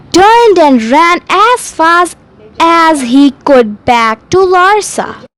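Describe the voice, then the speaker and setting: A woman storyteller reading a scripted bedtime story aloud in a measured, deliberate way. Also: high voice, poor audio.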